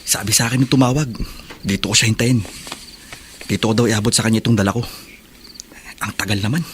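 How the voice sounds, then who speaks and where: A man's voice: lowish voice, steady tone, fast talker, lightly acted. A man playing a defiant, troubled young son in a Filipino radio drama, with a sullen, flippant manner. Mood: impatient muttering.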